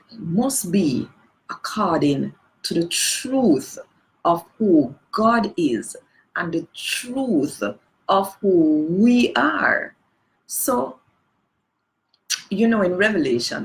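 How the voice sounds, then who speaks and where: Slow, gravelly low-voiced Jamaican woman. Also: low-quality recording. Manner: earnest, emphatic, rising on key phrases, rhetorical questions. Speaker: worship leader. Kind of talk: livestreamed spoken reflection on worship. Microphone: phone or webcam on a live stream.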